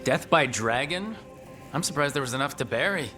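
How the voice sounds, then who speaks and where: A man's voice: medium pitch, lively intonation, normal speed, over music, natural voice. An actor playing a plain-spoken male adventurer in a fantasy video game, talking in a casual, wry way.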